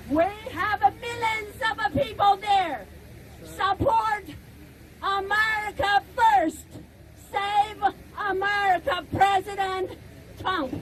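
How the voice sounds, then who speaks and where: Very high-pitched woman, Chinese accent, slow. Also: slight background noise, poor audio. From China. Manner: emphatic, deliberate, rising to shouts. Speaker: rally speaker. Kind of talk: impassioned outdoor protest speech. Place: outdoors at a street rally.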